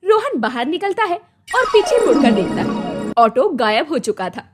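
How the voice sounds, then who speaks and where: Woman narrator, very high voice, animated intonation, scripted moral storytelling. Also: moderate pace, loud music behind the voice.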